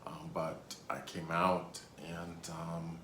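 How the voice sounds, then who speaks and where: A man's voice: sits low, completely flat delivery, slow, bright, forceful tone. A man in his thirties from Rhode Island, telling a casual, rambling storytime with many hesitations. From Rhode Island, USA. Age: 30s. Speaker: YouTuber. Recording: echoey room, faint background noise.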